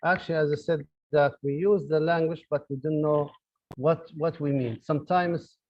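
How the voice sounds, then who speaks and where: A man's voice: fairly high pitch, steady tone, moderate pace. A middle-aged man with an Arabic accent giving a university lecture, hesitant, with many fillers and repeated words. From Libya. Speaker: university professor. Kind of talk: academic lecture. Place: online lecture. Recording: dull lo-fi audio.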